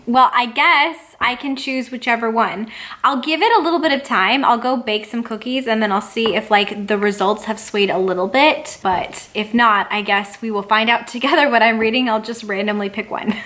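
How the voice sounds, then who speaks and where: A woman's voice: on the high side, sharp tone. A woman vlogger talking informally to her viewers, rambling a little and thinking aloud. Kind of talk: casual vlog chat to viewers.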